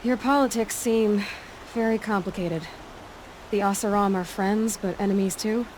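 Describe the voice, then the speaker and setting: A woman's voice: medium pitch, fairly even intonation, moderate pace, darkish tone, slight background noise, natural voice, low-quality recording. An actress playing a skeptical, blunt young woman warrior and scout in a video game, speaking directly and with understatement. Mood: questioning, dry. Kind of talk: video game cutscene acting.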